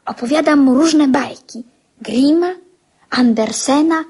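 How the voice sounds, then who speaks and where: A woman's voice: high-pitched, takes it slowly, a little mellow. A woman playing an eager, chatty young schoolboy who talks earnestly in a radio drama.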